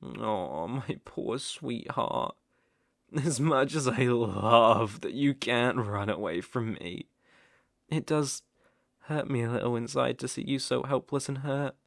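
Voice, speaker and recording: Male actor for obsessive yet protective stalker in ASMR roleplay: British, mid-pitched, tender, wry, halting delivery, dry close sound. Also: creaky, no echo. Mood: tender, possessive. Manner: tender, doting, wry, halting phrasing with soft chuckles. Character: devoted, possessive male stalker, outdoorsy and softly protective. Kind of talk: intimate ASMR roleplay acting.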